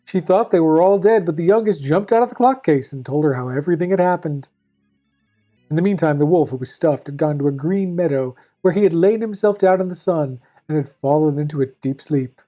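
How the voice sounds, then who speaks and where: A woman hosting and narrating a storybook tale in a formal, deadpan manner with dry asides. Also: low-pitched, brisk. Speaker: fairy tale reader and host.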